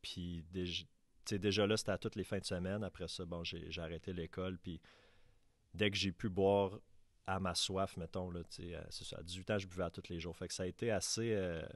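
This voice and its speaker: A man's voice: low voice, steady tone, a little fast, no room sound, clean studio sound. A man in his thirties with a Québécois French accent, hosting a podcast and speaking in a plain, matter-of-fact way. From Quebec. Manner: plain, matter-of-fact, fillers like fait que. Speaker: podcast host. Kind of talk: casual conversational interview.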